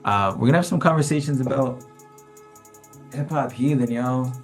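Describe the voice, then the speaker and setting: A man's voice: medium pitch, normal speed, faint music behind. A man, a hip hop artist, talking casually to camera in a rambling, slang-filled and self-reflective vlog, often laughing.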